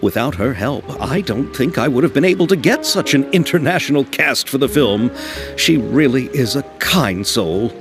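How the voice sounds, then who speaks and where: A man's voice: mid-range voice, animated, normal speed, over music, close to an everyday voice. A man playing an earnest, flustered young film director in a video game, polite and a little hesitant. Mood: friendly, explaining. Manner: polite, hesitant, nervously apologetic.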